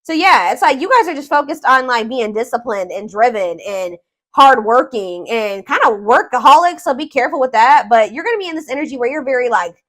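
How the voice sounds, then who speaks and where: A woman's voice: a little high, expressive, fairly quick, fairly bright tone. A woman reading tarot for viewers in a casual, informal way, thinking aloud as she goes, with emphatic points. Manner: informal, conversational, searching for words aloud, emphatic. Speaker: tarot reader, host.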